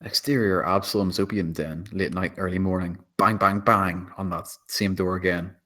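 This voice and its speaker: A man's voice: sits low, fairly even intonation, normal speed, a bit bright. A man reading out stage directions as scripted screenplay narration at a table read.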